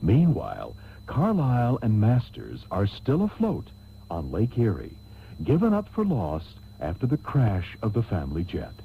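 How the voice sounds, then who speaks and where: An actor playing a suave, pompous host narrating a parody radio soap with drawn-out theatrical delivery. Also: male voice, fairly low pitch, huge pitch swings, creaky, slight background noise, heavily acted. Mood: grandly amused. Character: suave, mock-grand male soap opera host. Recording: tinny band-limited taped radio broadcast.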